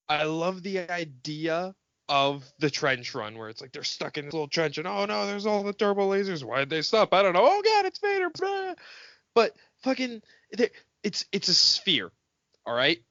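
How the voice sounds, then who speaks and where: A man co-hosting a podcast, chatting casually about films in an excitable, expressive, sweary way. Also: on the high side, no room sound, muffled recording. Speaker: podcast co-host. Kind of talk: casual film chat among friends.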